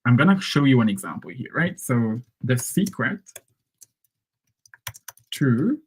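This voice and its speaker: Chatty Hungarian-native English coach. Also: male voice, medium pitch, a little mellow, rough recording. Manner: conversational teaching, frequent "right?" and "okay?" check-ins. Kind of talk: live-streamed English lesson.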